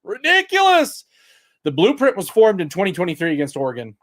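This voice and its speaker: Male sports host, higher-pitched, loud and projecting, exasperated post-game breakdown. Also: wildly swooping pitch, fairly quick. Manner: reads stats aloud to chat. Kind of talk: post-game livestream commentary.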